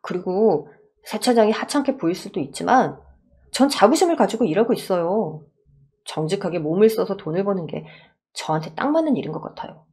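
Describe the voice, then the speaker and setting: A woman's voice: medium pitch, animated, a little fast, mellow, natural voice. A woman voicing an earnest, hard-working young husband in a radio story drama, protesting respectfully.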